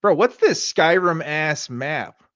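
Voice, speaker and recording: Animated, irreverent man chatting casually. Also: a little high, takes it slowly, no echo, muffled recording. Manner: irreverent, sweary, slangy. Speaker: reaction show co-host. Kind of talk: casual commentary chat.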